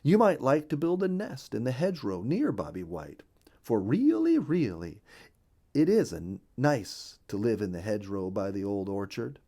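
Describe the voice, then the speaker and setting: A man's voice: mid-range voice, big pitch swings, normal speed, warm tone. A man voicing a cheerful, friendly male bluebird in a children's storybook reading, chatty and neighborly.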